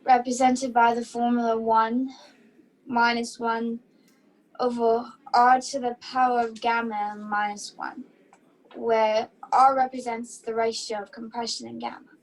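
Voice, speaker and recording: A woman's voice: on the high side, little pitch movement, unhurried, rough recording. A woman acting a proud, dutiful memory performer who recites facts formally in a stage-style radio play. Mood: weary, earnest.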